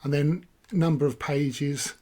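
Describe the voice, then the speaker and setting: A man talks casually through a software demo, his voice hoarse after long use. He rambles and corrects himself as he goes, thinking aloud while clicking. His voice sits a touch on the high side. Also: steady tone, takes it slowly, a little hiss or hum. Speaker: software reviewer. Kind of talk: casual screen-recorded walkthrough.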